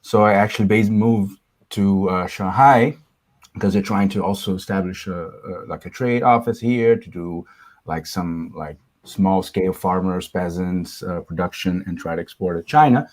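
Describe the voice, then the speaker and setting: A man from Brazil, a guest on a video chat, who talks hesitantly and pauses to search for words. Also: low-pitched, darkish tone. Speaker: guest, researcher. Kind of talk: casual interview talk.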